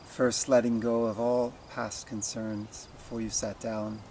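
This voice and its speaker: A man's voice: mid-range voice, little pitch movement, darkish tone, a little hiss or hum. Man guiding meditation over chirping insects. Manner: slow, deliberate phrasing with long pauses. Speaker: meditation teacher. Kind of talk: guided meditation.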